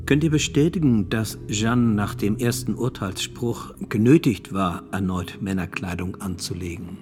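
A man's voice: fairly low pitch, moderate pace, a little mellow, soft background music, lightly acted. An actor playing a sober male court examiner, putting formal, probing questions in a radio drama. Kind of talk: radio drama acting, interrogation.

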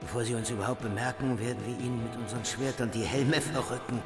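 Stern medieval hero acted by a man, mid-pitched. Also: steady tone, fast talker, music in the background, natural voice. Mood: tense, grim. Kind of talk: German radio play acting.

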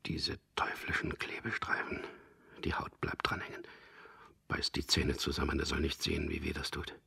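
Gravelly half-whispering captive man. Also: very deep voice, monotone, normal speed, exaggerated voice. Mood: pained, hushed. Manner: terse, hushed inner monologue. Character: captive male prisoner narrating his own interrogation, stoically enduring. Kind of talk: first-person radio drama narration in present tense.

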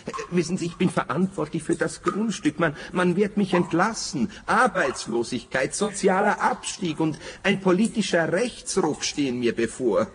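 An actor playing an officious, anxious forester in a radio play, hesitant and plaintive. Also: male voice, fairly high pitch, expressive, normal speed, background noise, close to an everyday voice. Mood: worried, plaintive. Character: fussy, officious, anxious male forester, self-pitying company man.

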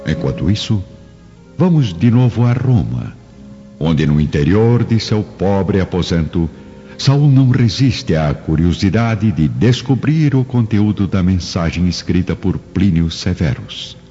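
A male narrator with a Brazilian accent delivers scripted radio drama narration. His voice is deep and dark, on a slightly dull recording with music underneath. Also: expressive, unhurried.

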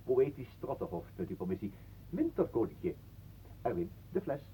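An actor playing a jovial, intrusive talker in a radio play, flowery, pompous and mock-courteous. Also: male voice, medium pitch, sing-song, normal speed, mellow, breathy edge. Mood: chatty, pompous. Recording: muffled, phone-like old radio recording.

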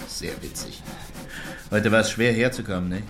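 Low-voiced, chatty, wry colleague. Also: male voice, fairly even intonation, moderate pace, fairly bright tone, slight background noise. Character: chatty, wry male colleague, curious and observant. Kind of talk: radio drama dialogue.

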